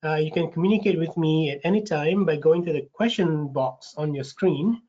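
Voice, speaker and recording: Slightly raspy man hosting a webinar. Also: a little high, moderate pace, mellow. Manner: friendly, with frequent ums and restarts. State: getting over a cold, a bit raspy. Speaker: webinar host, recruitment manager. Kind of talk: presenting an online info session. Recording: dull lo-fi webinar audio.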